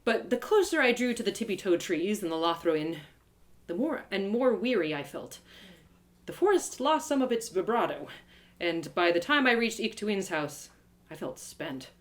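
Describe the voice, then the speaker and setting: A woman reading a first-person story aloud live, as its storyteller, in a dramatic, expressive way. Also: mid-range voice, normal speed. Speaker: author and storyteller.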